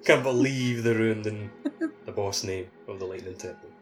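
A man's voice: fairly low pitch, moderate pace, soft background music. A man co-hosting a podcast, chatting casually about a video game. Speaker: podcast co-host.

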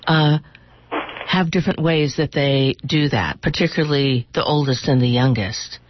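A woman's voice: a bass voice, moderate pace, a little hiss or hum. A woman hosting a conversational radio interview, feeling her way through her questions. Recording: slightly dull radio recording.

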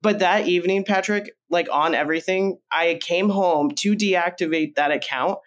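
A man originally from Colombia, a podcast guest, telling his story in a loose, meandering conversational way and jumping up in pitch when he acts out remembered lines. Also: normal speed. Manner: animated, jumps up in pitch when acting out remembered quotes; loose and meandering, with frequent fillers and restarts.

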